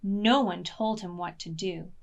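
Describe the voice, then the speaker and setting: A woman reading a picture book aloud to preschoolers in an expressive, deliberate way, as a storytime librarian. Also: fairly low pitch, vocal fry, muffled recording. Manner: slow, deliberate, expressive, pausing to ask questions.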